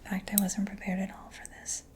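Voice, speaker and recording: A woman doing a soft-spoken ASMR mechanic roleplay, breathy and mostly whispered, gently murmuring as if giving personal attention, close to the microphone. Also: fast talker. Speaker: ASMR performer. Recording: close-miked with clear background noise.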